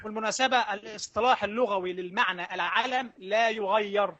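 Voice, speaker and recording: A man's voice: high-pitched, fairly quick, bright, forceful tone, dull audio. A man debating combatively, pressing challenges and repeating himself insistently.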